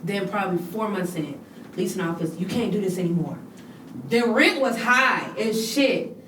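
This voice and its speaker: A woman's voice: mid-range voice, moderate pace, a bit bright, a little hiss or hum. A woman talking in casual chat as a podcast guest, blunt and profane, telling her own story. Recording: echoey room, mic at a distance.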